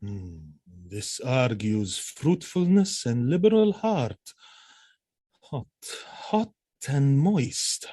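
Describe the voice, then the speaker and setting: An actor playing a jealous, vengeful military commander in a Shakespearean audio drama, theatrical and brooding. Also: male voice, mid-range voice, very animated, drawn-out words, exaggerated voice. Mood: brooding, suspicious. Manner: theatrical, brooding, erupting into shouts.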